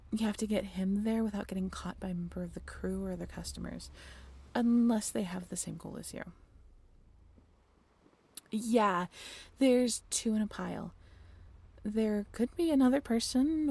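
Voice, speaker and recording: A woman playing a caring, bashfully candid half-mermaid ship crew member in an audio roleplay, speaking straight to the listener in a halting, natural, gently bossy way. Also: mid-range voice, husky, no room sound. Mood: playful, brisk. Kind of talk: audio roleplay drama spoken directly to the listener.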